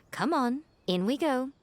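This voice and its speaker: A woman's voice: sits high, lively intonation, takes it slowly, heavy background noise. A woman voicing a sly, playful and self-assured fox shrine maiden in a video game, speaking in a teasing, composed, knowing way. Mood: teasing, amused.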